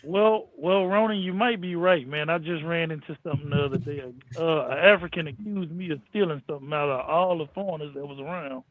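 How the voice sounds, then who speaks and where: American male on a thin phone-like line, raised pitch, folksy casual talker. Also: fairly quick, hardly any pauses, no echo. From United States. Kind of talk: casual chat.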